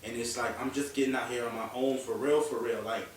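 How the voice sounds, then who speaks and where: A man's voice: mid-range voice, steady tone, fast, slight background noise. A young man in his early twenties speaking candidly and emotionally on a panel chat show, now and then swearing. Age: young adult. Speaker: guest. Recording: echoey room, distant mic.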